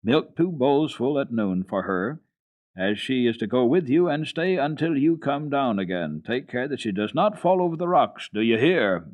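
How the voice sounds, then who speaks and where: A man reads as an old grandfather who farms goats in the mountains. He is gruff but kindly, speaking plainly and firmly as in a children's storybook. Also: medium pitch, big pitch swings, moderate pace, a little mellow, voice pushed up, close to an everyday voice. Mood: firm, instructing.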